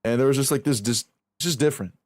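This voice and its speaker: Young male streamer, mid pitch, loose and profane rambling, close dry sound. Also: fast talker, dry recording. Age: 20s. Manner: rambling, profane, self-reflective, restarts a lot. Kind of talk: casual stream chat while aim training.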